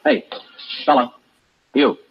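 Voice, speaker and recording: A man playing a stoic American police detective, narrating in a clipped, deadpan way in 1950s radio drama style. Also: slow, dull audio, noisy background, close to an everyday voice. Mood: terse. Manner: matter-of-fact, deadpan, clipped. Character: stoic, businesslike male police detective sergeant. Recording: vintage 1950s radio broadcast with music.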